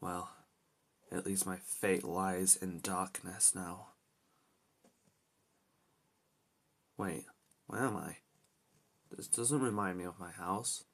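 A man's voice: deep voice, steady tone, takes it slowly, creaky, long pauses, a bit bright, heavily acted. A man voicing an anxious, protective young adventurer in amateur character lines, talking to himself with dramatic exclamations. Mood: wary, musing. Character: anxious, reluctant young adult male adventurer hero, protective.

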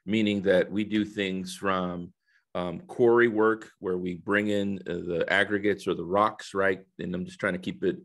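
A man in his fifties from El Salvador, raised in the United States, giving conversational interview answers in a halting, explanatory way. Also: deep voice, steady tone, a bit bright. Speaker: guest, corporate diversity executive. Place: indoor office, video call. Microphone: headset on a video call.

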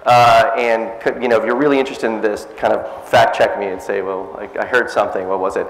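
A middle-aged man with a loud voice giving a casual, self-deprecating science talk to a cafe audience. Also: on the low side, brisk, slight background noise. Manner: self-deprecating, lively, jokey. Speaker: science speaker. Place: cafe event space, indoors. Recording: echoey event space.

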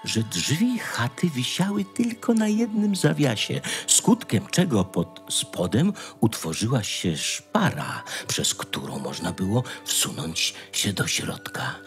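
A man narrating a scripted fairy tale in a measured, expressive storytelling manner. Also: mid-range voice, faint music behind.

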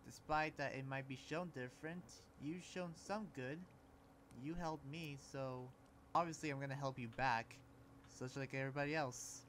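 A man's voice: medium pitch, fairly even intonation, slight background noise, close to an everyday voice. A man playing an earnest, sympathetic demon slayer, speaking hesitantly and reassuringly in an improvised anime roleplay. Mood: earnest, defiant. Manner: hesitant, halting, gently reassuring. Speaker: Minecraft roleplay player.

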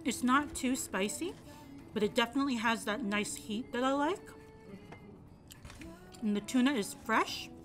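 A woman's voice: a little high, measured pace, pauses often, fairly bright tone, faint music behind. Chatty young woman reviewing sushi. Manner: chatty, describes each bite. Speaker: food vlogger. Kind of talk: casual food review to camera. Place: restaurant patio, outdoors. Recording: noisy patio ambience.

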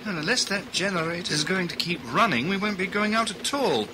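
An actor with an English accent playing a brisk, jovial man in charge of a 1920s wireless team in a radio play. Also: high-pitched, animated, background noise. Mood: brisk, busy. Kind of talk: period radio play acting.

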